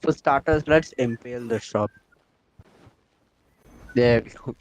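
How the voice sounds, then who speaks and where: A man's voice: medium pitch, slow, low-quality recording. A man streaming a game live, chatting casually with joking, exclamatory banter.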